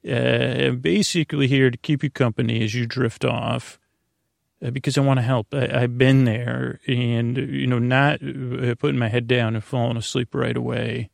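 A man with a gravelly, creaky voice talks in a dry, close space. He hosts a sleep podcast as a rambling monologue, halting, drifting off mid-thought and looping back to where he was. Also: medium pitch, little pitch movement, no echo. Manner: meandering, halting, often trailing off and circling back. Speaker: podcast host. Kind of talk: rambling bedtime monologue to help listeners sleep.

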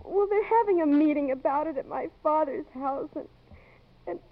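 An actress playing an earnest, distressed young college woman in a 1950s radio drama, speaking haltingly and emotionally. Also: sits high, lightly acted. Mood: anxious, hesitant. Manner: halting, emotional, repeating words. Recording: muffled, tinny old radio broadcast.

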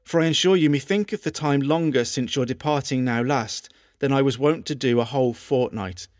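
A man's voice: medium pitch, dry recording, clean studio sound, close to an everyday voice. An actor as an earnest lovesick king, closely recorded. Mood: tender, longing. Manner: measured, formal, archaic phrasing. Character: lovesick English king Henry VIII reading his own love letters, earnest. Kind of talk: dramatised reading of historical letters.

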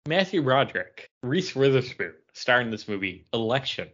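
A man's voice: medium pitch, big pitch swings, moderate pace, a bit bright. Man hosting trivia, slightly dull audio. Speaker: podcast trivia host. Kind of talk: casual pop culture quiz banter.

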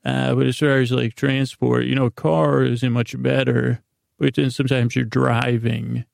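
An American man with a gravelly, creaky voice hosting a bedtime podcast, rambling in a halting, meandering way with drawn-out pauses. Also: medium pitch, level tone, dry recording, studio quality. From United States. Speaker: podcast host.

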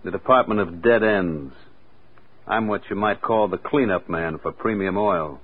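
Old muffled radio drama, American hitman, low rough voice, deadpan, taunting, menacing. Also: a little slow. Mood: cool menace. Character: cool, sardonic heavy-set male hitman posing as federal agent. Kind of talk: 1940s radio acting. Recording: muffled, narrow-band old radio recording.

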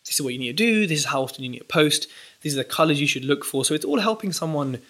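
Young male guest, bright forceful tone, lively rambling delivery. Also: a little high, rapid-fire. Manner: animated, rambling with restarts. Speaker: podcast guest, property entrepreneur. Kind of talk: casual interview chat.

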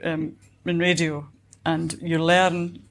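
A woman's voice: lowish voice, level tone, slow pace. A woman in her fifties with a Scottish accent, an author answering questions conversationally in a reflective, wry way. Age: 50s. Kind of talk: conversational answers at a book event.

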